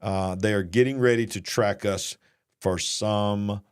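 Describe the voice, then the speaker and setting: A man's voice: on the low side, steady tone, unhurried, smooth. A man with a Southern US accent hosting a live online show, talking in a casual, joking, folksy way. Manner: jokey, folksy, mocks fake accents. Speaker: host. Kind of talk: casual live-stream commentary.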